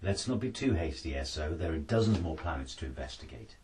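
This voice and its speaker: A man's voice: rumbling low, animated, brisk, darkish tone, recorded from a distance, slight background noise. A man voicing a decisive space colonel with a clipped, authoritative manner in a storybook reading. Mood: commanding. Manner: clipped, authoritative orders and questions. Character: commanding male space colonel, brisk and decisive.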